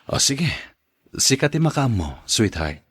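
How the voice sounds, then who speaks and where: A man with an Ilocano Filipino accent and husky voice playing an affectionate, accommodating husband in an audio drama. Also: mid-range voice, very animated, measured pace, a bit bright, heavily acted. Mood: affectionate, obliging.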